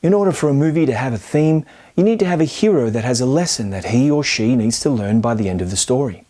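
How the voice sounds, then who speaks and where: Man narrating film analysis, softened mellow tone. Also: medium pitch, animated, fast talker. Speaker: film commentary host. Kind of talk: scripted video-essay narration.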